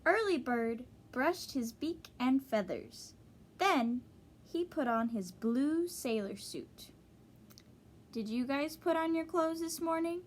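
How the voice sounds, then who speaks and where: A woman teacher reading a children's storybook aloud, pausing to explain and ask young listeners questions. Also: sits high, lively intonation, slight background noise.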